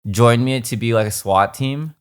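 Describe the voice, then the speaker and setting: A man co-hosting a podcast in casual chat, eager and playful as he pitches ideas. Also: moderate pace, clear, resonant tone. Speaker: podcast co-host.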